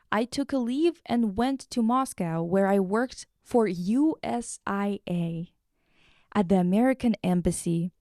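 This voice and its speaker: Woman podcast host, mellow voice, super dry recording, slangy n sweary, casual and irreverent. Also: medium pitch, no room sound. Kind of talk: casual chat, recapping and reading quotes aloud.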